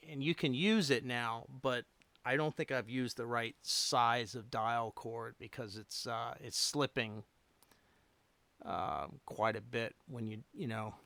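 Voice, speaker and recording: A man chatting casually and unscripted as a hobbyist livestream host, rambling a little and thinking aloud in halting phrases. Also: medium pitch, sharp tone, clean tone, dry recording. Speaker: radio repair hobbyist hosting a livestream.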